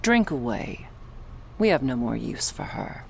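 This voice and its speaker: A woman acts as a cool female vampire bodyguard in an ASMR audio drama. The voice is extremely low for a woman, calm and commanding. Faint noise runs underneath. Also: unhurried, vocal fry, exaggerated voice. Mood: cold. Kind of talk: dramatic ASMR roleplay acting.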